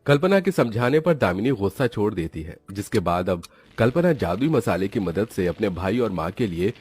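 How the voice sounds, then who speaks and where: A man's voice: on the low side, sing-song, normal speed, darkish tone, breathy edge, no echo, soft background music. A man narrating a scripted children's moral story as its storyteller.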